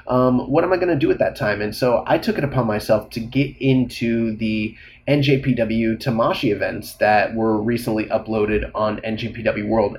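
A man's voice: medium pitch, slight background noise. A man hosting a solo podcast, a little congested and slightly nasal, talking casually and rambling with frequent fillers. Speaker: podcast host.

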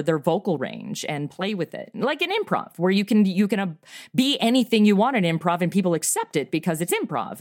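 Middle-aged female interview guest, slightly low register, conversational delivery, dry sound. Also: no room sound. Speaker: guest, audio comedy writer and performer. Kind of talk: casual interview chat.

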